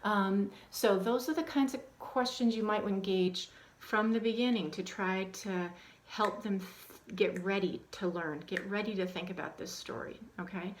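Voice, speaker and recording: A woman walks through tips on reading aloud to children in a relaxed, conversational way, often pausing with ums and restarting her sentences. Her voice sits at a middle pitch, with a faint hiss of background noise under it. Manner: conversational, many ums, acts out questions to children in a hushed, animated voice. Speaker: teacher trainer. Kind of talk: instructional talk on reading aloud.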